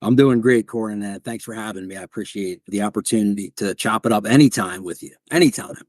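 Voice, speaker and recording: A man with a Philadelphia accent from South Jersey, a mortgage lender guest telling his story in a relaxed, conversational way. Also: fairly low pitch, quick pace, dry recording, studio quality. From South Jersey, near Philadelphia. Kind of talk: casual interview conversation.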